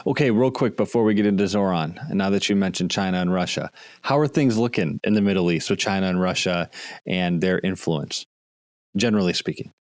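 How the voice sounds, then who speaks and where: A man hosting a podcast, reading a scripted introduction and then chatting with his guest in an earnest, slightly halting way. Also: low voice, dry recording, clean studio sound. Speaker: podcast host. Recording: loud music underneath. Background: loud music.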